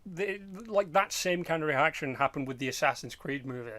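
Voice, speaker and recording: A man chatting casually as a podcast host, rambling and halting, with loose swearing. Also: a little high, lively intonation, sharp tone, dry recording. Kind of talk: casual chat about game movies.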